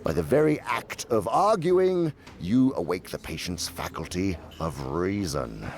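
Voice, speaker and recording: An actor playing a sly, condescending older demon uncle who lectures pompously and theatrically in a radio drama. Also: male voice, sits low, very animated, unhurried. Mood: lecturing.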